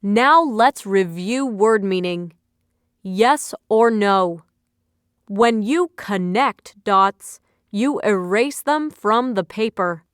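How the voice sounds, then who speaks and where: A woman with a smooth, crisp, mid-pitched voice leads a scripted lesson review. She speaks slowly with deliberate, instructional phrasing. The sound is dry, with no room echo. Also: lively intonation. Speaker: quiz narrator, instructor. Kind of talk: scripted educational narration.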